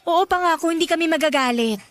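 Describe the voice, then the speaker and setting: A woman's voice: very high-pitched, quick pace, fairly bright tone. A woman acting a sweet, modest, earnest young woman in a Filipino radio drama. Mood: impatient.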